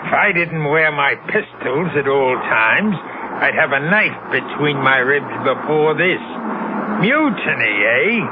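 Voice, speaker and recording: A fearsome old sea captain from a seventies radio play, slow and deliberate, high for a man. He commands with jealous menace and grand, archaic phrasing, on a noisy old broadcast recording with a narrow sound. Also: animated. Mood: grim scorn. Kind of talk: 1970s radio drama acting.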